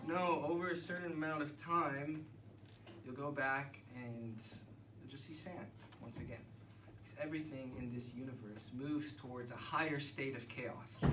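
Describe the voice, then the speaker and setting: A man in his thirties from Texas with an American accent, telling a joking yet reflective personal story live on stage. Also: mid-range voice. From Texas, United States. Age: 30s. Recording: echoey room, distant mic, noisy ambience.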